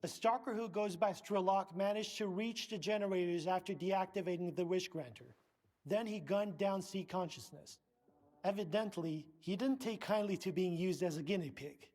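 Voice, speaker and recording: A man's voice: high voice, moderate pace, darkish tone. A man playing a pompous scientist and research director in a video game, speaking formally, precisely and with condescension. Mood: dry, lecturing. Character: pompous middle-aged male scientist, director of research center.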